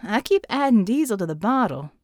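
A woman voicing a quick-witted barmaid with a drawl, dry and flirty. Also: fairly high pitch, big pitch swings, darkish tone, dry recording. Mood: dry amusement.

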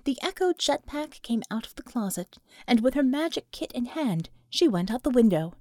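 A woman reading scripted fiction as an audiobook narrator, with expressive, dramatic storytelling. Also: a little high, moderate pace, dry recording, clean studio sound.

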